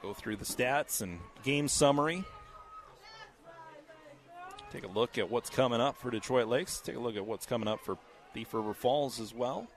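A man with a scratchy voice calling a high school football game play by play, steady and measured, with casual fillers. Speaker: play-by-play announcer.

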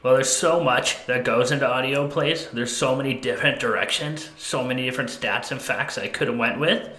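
A man's voice: medium pitch, brisk, pushed and bright, roomy sound, poor audio. A man co-hosting a podcast, chatting casually and enthusiastically in slangy banter with a friend. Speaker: podcast co-host.

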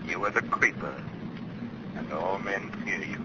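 Radio mystery avenger: fairly low voice, sinister, taunting, theatrical, heavily noisy vintage recording. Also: male voice, a little slow, muffled recording. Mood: ominous. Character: invisible avenging crimefighter, mocking, commanding, sinister. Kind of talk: 1930s radio drama acting.

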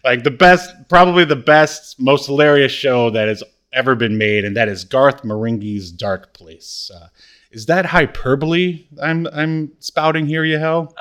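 Male podcast co-host, mid-pitched, casual chat delivery with playful hyperbole. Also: steady tone, measured pace, a bit bright.

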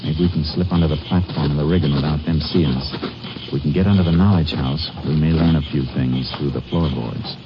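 An American actor playing a shrewd, steady Texas Ranger in a 1950s radio drama, clipped and matter-of-fact. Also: male voice, rumbling low, brisk, background noise. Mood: watchful. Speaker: lead actor and first-person narrator. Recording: muffled old radio recording, music.

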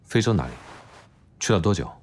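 A man's voice: low-pitched, very animated, a little fast. A man acting in an audio drama as a cool, blunt doctor who speaks in a curt, stern, matter-of-fact way. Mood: cool, clinical. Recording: music behind.

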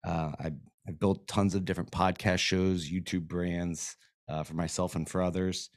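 A man's voice: deep voice, level tone, moderate pace, dry recording. A man from Florida, a podcast guest losing his voice, chatting in an easygoing, rambling way in a podcast studio. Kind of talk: casual interview chat.

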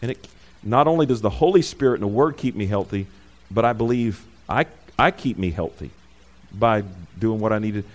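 Rambling, emphatic male preacher. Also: brisk. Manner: rambling, self-correcting, calls for amens; rises loud and high when emphatic. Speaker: pastor. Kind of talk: informal preaching to a congregation.

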